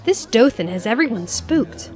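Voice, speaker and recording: Wry female sidekick, played by an actress, frank and casual, fairly high voice, light music behind, audio drama. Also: sing-song, normal speed, a little mellow.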